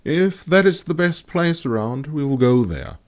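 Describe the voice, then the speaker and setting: A man voicing a composed woman, a senior astrologer and teacher, measured and decisive, in a fantasy audiobook. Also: on the high side, lively intonation, pitched above the performer's own voice. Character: female astrologer teacher, composed leader in authority. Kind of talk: audiobook character dialogue.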